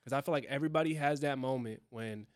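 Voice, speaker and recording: Dude hosting a podcast, mid-pitch, dry close mic, lots of yeahs and thoughtful questions. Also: male voice, quick pace, clear, resonant tone, no echo. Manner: affirming, asks reflective questions. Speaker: podcast host. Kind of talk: casual chat.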